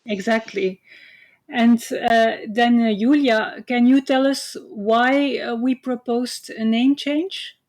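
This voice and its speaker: A woman's voice: a little high, steady tone, slow pace, darkish tone. Dutch-speaking woman lecturing with many fillers. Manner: hesitant, many uh fillers, explanatory. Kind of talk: online university lecture. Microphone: computer mic on video call.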